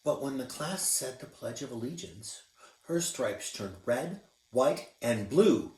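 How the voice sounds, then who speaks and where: A man, a teacher, reading a children's picture book aloud expressively and pausing to show the pictures. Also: medium pitch. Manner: expressive, showing pictures as he reads. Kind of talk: children's read-aloud narration. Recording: roomy, distant mic.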